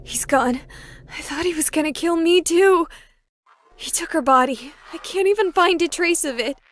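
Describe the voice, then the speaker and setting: An actress voicing a high-strung, easily panicked young woman streamer in a dramatic visual novel style. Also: normal speed, fairly bright tone. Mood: terrified. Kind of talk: animated visual novel voice acting.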